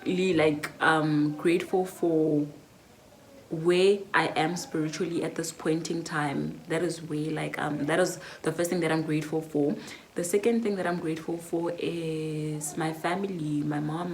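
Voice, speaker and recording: A woman's voice: low voice, a little mellow, a little hiss or hum. A nineteen-year-old woman with a South African accent, chatting reflectively through a Q&A vlog with lots of fillers. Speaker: YouTuber. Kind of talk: casual Q&A vlog.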